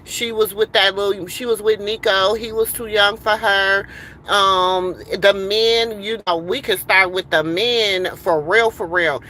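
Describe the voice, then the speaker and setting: A woman's voice: medium pitch, fairly even intonation, unhurried, bright, forceful tone, slight background noise, rough recording. Heated rambling middle-aged woman. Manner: heated, rambling, many fillers. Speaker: livestream host. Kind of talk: casual livestream rant. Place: in a car.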